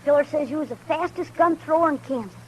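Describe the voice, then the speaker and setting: In a 1950s radio western, a woman voices a cocky runaway farm boy dreaming of fame with a gun. His high voice rushes with eager boasts in rough country grammar. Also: slight background noise, close to an everyday voice, low-quality recording. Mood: boastful.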